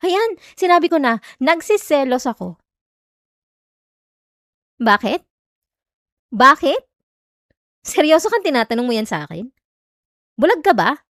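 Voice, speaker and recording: Woman acting a high-voiced jealous Filipina girlfriend. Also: dry recording, studio quality. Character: jealous, hurt young girlfriend feigning indifference. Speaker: voice actor in an audio roleplay. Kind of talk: Tagalog ASMR girlfriend roleplay, acted monologue.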